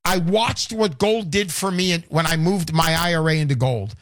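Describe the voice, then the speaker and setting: Guy in his 60s doing live radio sales talk, high voice, really fired up, repeats himself, super dry sound. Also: normal speed, no room sound. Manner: fervent, emphatic, repeats himself. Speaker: radio call-in show host and pitchman.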